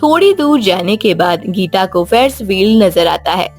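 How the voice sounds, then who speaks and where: A woman's voice: mid-range voice, animated, normal speed, husky. A woman narrator reading a scripted moral story for young listeners. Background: background music.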